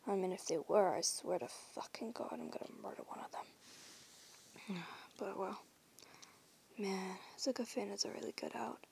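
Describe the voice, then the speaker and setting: A woman's voice: medium pitch, unhurried, pauses often, a little mellow. A woman voicing a teasing young female werewolf in an audio roleplay, whispering huskily and swearing casually. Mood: bored musing. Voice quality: whispery, husky.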